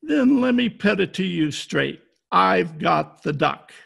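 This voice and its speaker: A man's voice: high voice, animated, takes it slowly, creaky, a bit bright, husky, full character voice. A man playing a tough, wisecracking private detective in an old-time radio style, talking in clipped slang. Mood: brusque, cool. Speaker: amateur radio play actor. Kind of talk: hardboiled 1940s radio detective acting. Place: at home, recorded over video call.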